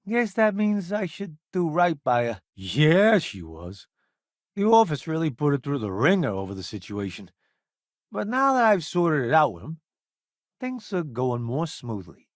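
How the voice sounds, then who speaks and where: Video game space crewmate: showy ex-celebrity food critic, unhurried, very expressive, wry and self-assured. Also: male voice, fairly high pitch, no echo, clean studio sound, full character voice. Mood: reflective, wry. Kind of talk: video game character voice lines.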